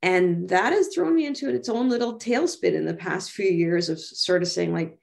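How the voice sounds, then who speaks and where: Canadian woman on a video call, lots of vocal fry, talking through personal stuff thoughtfully. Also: on the low side, lively intonation, moderate pace, a little mellow. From Toronto, Canada. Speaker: guest speaker. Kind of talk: reflective personal talk in an online panel. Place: home room, on a video call. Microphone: video call microphone.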